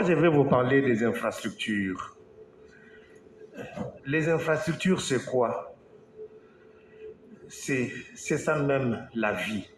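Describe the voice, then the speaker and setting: A man from Congo, an engineer, giving a slow, halting talk to an audience, with pauses and restarts. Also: mid-range voice. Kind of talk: speech to an audience. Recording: echoey hall, faint background noise.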